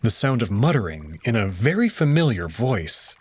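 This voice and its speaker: A man narrating a visual novel in the first person, measured and tense. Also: fairly low pitch, big pitch swings, a little mellow, husky.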